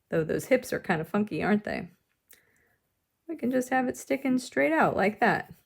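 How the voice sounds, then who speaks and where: Lady doing an art lesson, really slow and gentle, lots of vocal fry, explains it simple like for kids. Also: on the low side. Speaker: art teacher leading a drawing lesson. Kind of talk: instructional demonstration, step-by-step.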